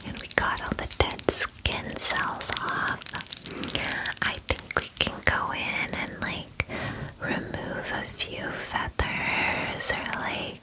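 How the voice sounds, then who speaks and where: A woman voicing a friendly, chatty young female purple dragon in a soft, whispered ASMR fantasy roleplay, with casual, girlish phrasing. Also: slow, close to an everyday voice, rough recording. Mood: chatty, soothing.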